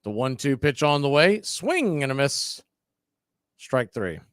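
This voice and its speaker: A man calling baseball play-by-play for a fan radio stream, mixing pitch calls with blunt opinionated asides. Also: big pitch swings, unhurried, fairly bright tone, clear, resonant tone, no room sound.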